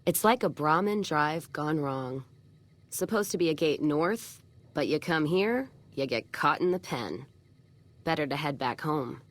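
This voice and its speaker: Low-voiced, cynical caravan woman. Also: lively intonation, unhurried. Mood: bitter, sardonic. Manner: blunt, sardonic, folksy Western drawl phrasing. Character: tough, foul-mouthed, cynical caravan boss woman stuck at an outpost. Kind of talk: video game character dialogue.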